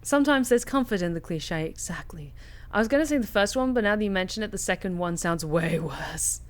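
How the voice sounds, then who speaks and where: A woman's voice: fairly low pitch, lively intonation, a little fast. A woman streamer giving casual commentary while playing a game, talking in a chatty, wry, sarcastic way into a close mic.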